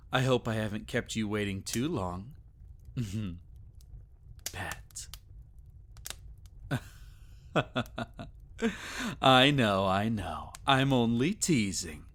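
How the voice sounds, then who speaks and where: A man playing a teasing, doting vampire lord in an intimate ASMR romance roleplay, with soft chuckles and sighs. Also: on the low side, full character voice. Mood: teasing, affectionate.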